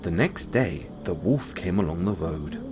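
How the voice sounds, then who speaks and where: Deep-voiced man reading a bedtime story. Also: sing-song, a little slow, very noisy. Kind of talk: bedtime fairy tale narration.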